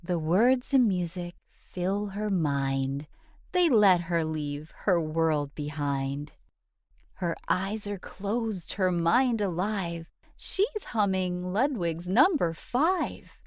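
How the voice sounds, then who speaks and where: A woman reading a rhyming children's book aloud in an expressive, sing-song storyteller's delivery. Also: fairly low pitch, takes it slowly, no room sound, clean studio sound.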